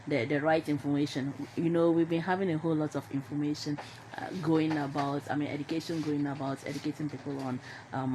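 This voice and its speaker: A woman with a Ghanaian accent speaking as a radio guest, explaining in an unscripted, halting and hesitant way. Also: sits low, a little mellow, slight background noise, rough recording. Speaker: studio discussion guest. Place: radio studio.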